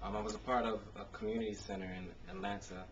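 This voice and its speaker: A man's voice: on the low side, little pitch movement, quick pace. American male poet, dull noisy camcorder sound. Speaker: spoken word poet. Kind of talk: spoken word poetry reading with casual introductions. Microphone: camcorder microphone.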